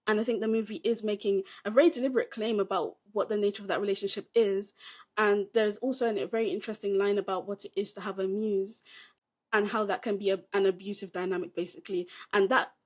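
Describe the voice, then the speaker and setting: A woman's voice: mid-range voice, fast. A young British woman co-hosting a podcast, reviewing films in an emphatic, opinionated way. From Britain. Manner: emphatic, opinionated, animated delivery. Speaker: podcast co-host, film reviewer. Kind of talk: casual review chat.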